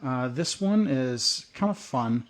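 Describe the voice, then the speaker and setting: A man talks through a workbench project in a relaxed, rambling tutorial voice, scattering "uh" and "um" as he goes. His voice sits in an ordinary middle pitch. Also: slow pace. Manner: loose and rambling, many "uh" and "um". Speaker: prepping channel host. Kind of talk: casual hands-on tutorial.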